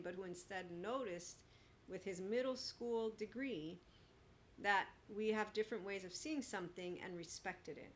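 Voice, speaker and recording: A woman's voice: fairly low pitch, a bit bright, a little hiss or hum. A woman in her forties giving an opinionated solo monologue as a podcast host, blunt, emphatic and sarcastic. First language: bilingual with Italian. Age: 40s.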